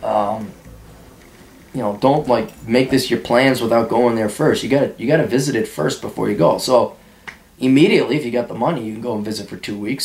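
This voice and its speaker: Blunt sarcastic man in an echoey room. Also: a little mellow. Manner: blunt, sarcastic, mocks with sudden high-pitched put-on voices. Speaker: podcast host answering listener questions. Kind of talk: blunt casual monologue reading out and answering listener mail.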